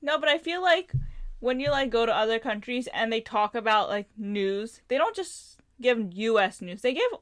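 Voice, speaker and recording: A woman's voice: on the high side, expressive, normal speed, a bit bright, no echo. A woman co-hosting a podcast, quizzing her partner in a teasing, dry way. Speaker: podcast co-host. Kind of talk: casual chat.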